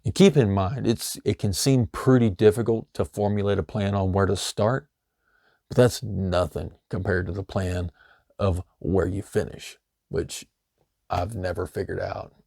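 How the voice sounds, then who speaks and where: A middle-aged man, slightly hoarse, giving a loose, conversational how-to talk full of "you know" fillers. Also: sits low, steady tone, measured pace, darkish tone, no room sound, studio quality. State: slightly hoarse, losing his voice. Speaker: homesteading channel host. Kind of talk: casual instructional talk.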